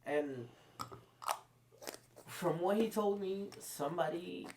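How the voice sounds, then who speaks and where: Caribbean-born guy in his 20s, halting podcast chat, lots of likes and ums, bit of hiss. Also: high voice. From Caribbean; born in Guyana, grew up in Antigua. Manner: hesitant, halting storytelling with many fillers. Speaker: guest.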